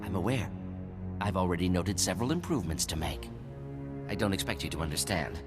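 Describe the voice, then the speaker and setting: An actor playing a proud, arrogant scientist in a video game, formal and defensive, stammering when flustered. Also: male voice, low-pitched, animated, brisk. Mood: proud, resigned. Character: brilliant, arrogant male droid scientist, defensive and unrepentant. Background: game music.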